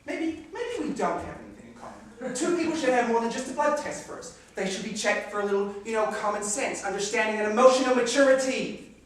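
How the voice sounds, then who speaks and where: A man's voice: very high voice, lively intonation, brisk. A man playing a tired, sarcastic young husband in a theatrical stage comedy, arguing dryly and emphatically. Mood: subdued. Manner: dry, argumentative, emphatic. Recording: distant, echoey, very noisy stage recording.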